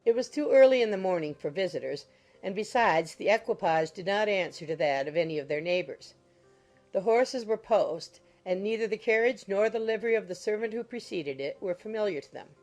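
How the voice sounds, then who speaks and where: A woman's voice: medium pitch, expressive, fairly quick, a little mellow, faint music behind. A woman reading scripted literary narration as an audiobook narrator.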